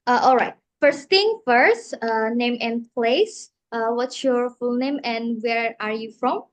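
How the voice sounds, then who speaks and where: A young woman co-hosting a casual podcast, friendly and upbeat but halting, with many uhs as she leads the questions. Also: a little high. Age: young adult. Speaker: co-host.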